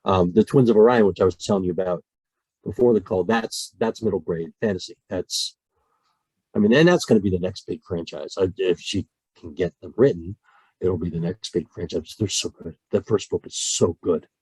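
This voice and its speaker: Man, low-pitched, breathy husky tone, dry close sound, enthusiastic, rambling restarts. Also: moderate pace, dry recording, low-quality recording. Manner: animated, many restarts, passionate about storytelling. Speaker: guest voice actor. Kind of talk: casual podcast conversation.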